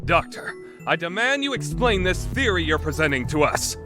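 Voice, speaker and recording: A man's voice: a little high, very animated, moderate pace, music in the background. A man playing a paranoid, theatrical young self-styled mad scientist in an anime dub, with pompous, dramatic delivery. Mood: indignant. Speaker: amateur voice actor. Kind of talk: dramatic fandub performance with first-person narration.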